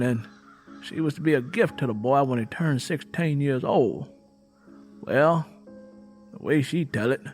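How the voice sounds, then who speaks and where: A man voicing a bitter, mean-tempered woman from the American South in a folksy, emphatic storytelling way for an audiobook. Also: fairly high pitch.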